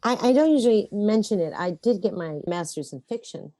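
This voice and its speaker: A woman's voice: medium pitch, lively intonation, fairly quick, mellow, no room sound. A woman playwright chatting in an interview, telling wry, self-mocking stories from her life. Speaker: playwright guest. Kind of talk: conversational interview.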